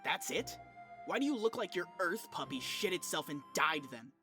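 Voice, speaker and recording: A woman's voice: on the low side, big pitch swings, normal speed, pushed and bright, soft background music. A woman playing an irritable, sarcastic, foul-mouthed teenage troll boy in a fan comic dub. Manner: grouchy, sarcastic, swearing.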